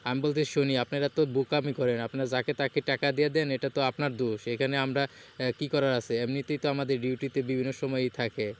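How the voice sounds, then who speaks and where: Man posing as a flat-toned, probing Bangladeshi police official. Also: medium pitch, brisk, close to an everyday voice. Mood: reproachful. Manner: questioning, admonishing, hesitant starts. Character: fake police official, male, reproachful and probing. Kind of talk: prank phone call. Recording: phone call with faint noise.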